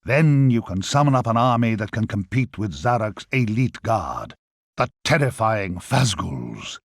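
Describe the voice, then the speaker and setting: An actor playing a wry, chatty, overworked grim reaper in a video game, with a theatrical manner. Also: male voice, fairly low pitch, sing-song, a little slow, vocal fry, no room sound, clean studio sound, heavily acted. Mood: wry, confiding. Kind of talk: scripted video game character acting.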